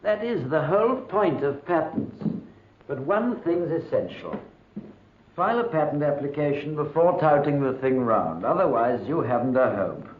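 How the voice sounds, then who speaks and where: A man acting a brisk, confident, knowing British adviser in a 1950s docudrama. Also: on the high side, sing-song. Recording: echoey, dull vintage film soundtrack.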